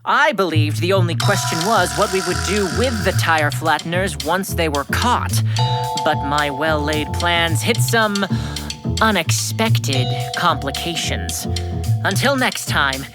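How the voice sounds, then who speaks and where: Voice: mid-range voice, measured pace, close to an everyday voice. An actor playing an earnest, self-important sixth-grade boy detective in a radio drama, speaking formally and precisely. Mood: formal, self-assured. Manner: pompous, formal, precise diction. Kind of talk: radio drama acting and first-person narration. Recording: music under the voice.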